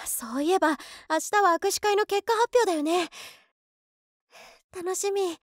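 An actress playing an overworked, excitable office woman in her twenties who is a devoted fan, musing aloud expressively in a voice drama. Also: very high-pitched, unhurried, no echo, clean studio sound. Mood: excited. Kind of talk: Japanese situation voice drama monologue.

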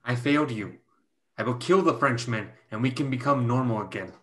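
Stern, protective male guardian, read by a young man, medium pitch, solemn measured stage-reading delivery. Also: a bit bright, lightly acted. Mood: solemn, insistent. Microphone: video call.

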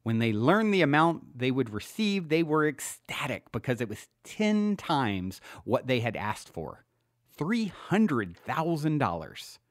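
Expressive man narrating a self-help audiobook. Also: medium pitch, a little slow, dry recording, clean studio sound. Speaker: podcast host reading his own self-help book. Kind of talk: scripted audiobook narration, guided instruction.

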